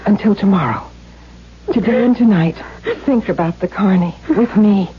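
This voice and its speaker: A woman's voice: mid-range voice, normal speed, a little hiss or hum, close to an everyday voice. An actress playing a bold, protective elder sister in her thirties in a 1970s radio drama, urgent and coaxing. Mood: coaxing, tender. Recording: dull vintage radio recording, music behind.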